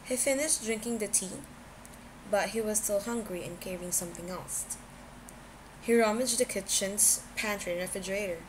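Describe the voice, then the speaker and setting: A woman's voice: mid-range voice, normal speed, background noise. A woman reading a scripted story aloud as an amateur audiobook narrator.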